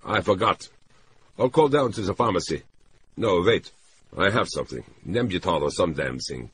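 A man's voice: low voice, expressive, normal speed, a bit bright, slight background noise, pitched above the performer's own voice. A man voicing an agitated, exasperated man with urgent, clipped delivery, in a dramatic audiobook reading. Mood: flustered. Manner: urgent, insistent, short clipped sentences. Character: agitated, exasperated man tending a disturbed woman.

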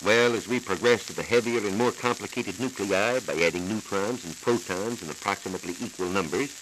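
An American man working as a science reporter, giving a formal, measured, scripted explanation in 1940s radio style. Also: on the low side, fairly bright tone. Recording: hissy, crackly 1940s transcription disc.

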